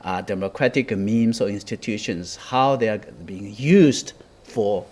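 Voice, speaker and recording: A man commenting on a news panel. He speaks haltingly, with many restarts and fillers, and delivers his points in measured bursts. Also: on the low side, darkish tone.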